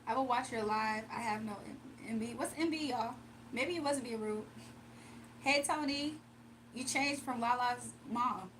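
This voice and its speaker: A woman's voice: on the high side, a little slow, fairly bright tone, slight background noise, low-quality recording. A woman hosting a live stream, chatting casually with viewers and doing card readings, her voice a little strained from nearly losing it. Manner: chatty, reads out viewer comments, laughs often. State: slightly strained, recovering voice. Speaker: livestream card reader.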